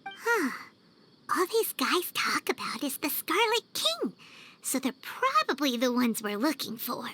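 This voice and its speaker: A tiny childlike fairy companion from a video game, squeaky and extremely high. She is excitable and suspicious, swinging up and down in pitch at an unhurried pace. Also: exaggerated voice. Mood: reluctant, musing. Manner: exclaiming, refers to herself by name. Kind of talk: animated video game voice acting.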